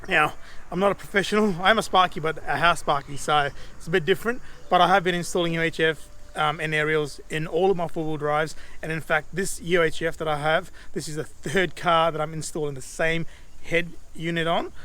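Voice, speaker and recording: A man's voice: fairly high pitch, fairly even intonation, a little fast, pushed and bright. An Australian man talking casually and chattily, presenting a do-it-yourself how-to vlog. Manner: informal, chatty, mate-to-mate. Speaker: DIY video host. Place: outdoors beside a vehicle. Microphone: action camera. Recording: clear background noise, outdoor camera audio.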